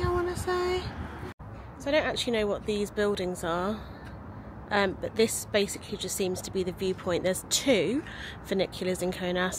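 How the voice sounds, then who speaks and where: Woman, thirties, chatty, upbeat, phone camera, clear street noise. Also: mid-range voice. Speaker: travel vlogger. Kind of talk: casual travel vlog narration. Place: outdoors on busy city streets and park paths. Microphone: handheld phone camera at arm's length. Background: traffic and street noise.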